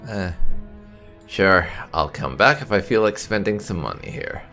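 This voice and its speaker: A man's voice: low-pitched, big pitch swings, a little slow, sharp tone, soft background music. A man doing roleplay commentary over a video game, musing and replying in character. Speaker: gaming YouTuber playing in character. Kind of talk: roleplay let's play commentary.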